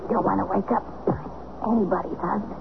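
An actress playing a weary, guarded wife in a 1970s radio drama, with a dry, defensive manner. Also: female voice, mid-range voice, steady tone, a little fast, vocal fry. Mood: weary, irritated. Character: guarded, weary, dryly sarcastic unhappy young wife. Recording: narrow, phone-like, noisy old radio recording.

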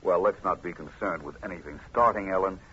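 An actor playing a cool, confident amateur detective, explaining himself in an assured, wry way in a vintage radio drama. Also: male voice, deep voice, brisk, a bit bright, husky, heavily acted. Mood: wry, explanatory. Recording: muffled old radio recording, faint noise.

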